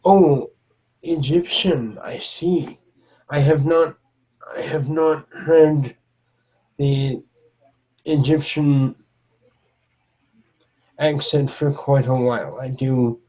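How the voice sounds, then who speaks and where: A man voicing a huge, gentle male troll knight who speaks with formal, soft-spoken courtesy. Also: medium pitch, steady tone, slow pace, pauses often, natural voice, poor audio. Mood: courtly.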